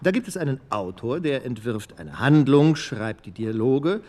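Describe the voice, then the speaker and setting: A man's voice: mid-range voice, animated, measured pace, a little mellow, slight background noise, close to an everyday voice. A man playing a courteous, formal radio presenter in a radio play, ceremonious and emphatic. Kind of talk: radio play announcer acting.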